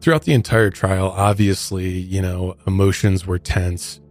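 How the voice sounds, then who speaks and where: A man's voice: deep voice, level tone, a little slow, darkish tone, faint music behind. An American man hosting a podcast, telling a true crime story in a conversational narrating style. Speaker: podcast host.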